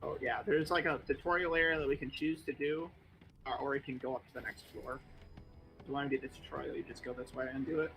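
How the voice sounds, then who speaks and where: A man's voice: medium pitch, fast talker, faint music behind, poor audio. A man hosting a stream, casually walking a friend through a game with lots of fillers. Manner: relaxed, rambling, lots of fillers. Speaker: streamer host. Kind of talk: casual gameplay explanation.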